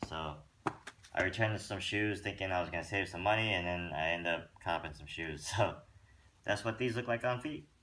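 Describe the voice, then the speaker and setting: Low-voiced man reviewing sneakers. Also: moderate pace. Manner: relaxed and rambling, with many fillers. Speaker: sneaker vlogger. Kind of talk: casual product review. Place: room with a wooden floor, indoors.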